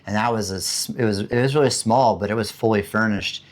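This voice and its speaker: Deep-voiced hoarse man chatting. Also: a little fast. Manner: rambling, many fillers and restarts. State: hoarse after being sick. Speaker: vlogger. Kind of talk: casual chat about living costs. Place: home in the Philippines.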